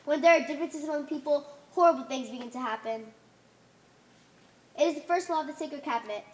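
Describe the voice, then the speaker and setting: A woman's voice: high-pitched, brisk, a little mellow, lightly acted. A woman playing a pompous, dogmatic alien holy leader in an amateur stage play, making solemn, commanding pronouncements. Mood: stern, preaching. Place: theater stage.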